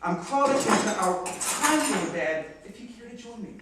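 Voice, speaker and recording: A man's voice: extremely high pitch, lively intonation, moderate pace. A man playing a tired, sarcastic young husband in a theatrical stage comedy, arguing dryly and emphatically. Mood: weary, sarcastic. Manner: dry, argumentative, emphatic. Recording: distant, echoey, very noisy stage recording.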